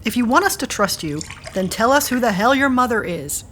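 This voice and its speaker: A woman's voice: a little high, animated, a little fast, fairly bright tone, a little hiss or hum. Blunt, sarcastic woman playing a defiant woman. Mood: challenging. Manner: dry, sarcastic, pointed. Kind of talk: audio drama acting.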